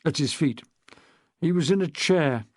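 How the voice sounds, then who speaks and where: A man's voice: on the high side, a little slow, a little mellow, dry recording, studio quality. A man voicing a guarded middle-aged policeman who answers in terse, clipped lines. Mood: grim.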